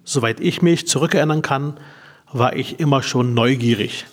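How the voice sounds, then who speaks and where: A man's voice: medium pitch, moderate pace. A man in his forties from Berlin, presenting and asking prepared interview questions in German. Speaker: interviewer, politician. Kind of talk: scripted introduction and interview questions.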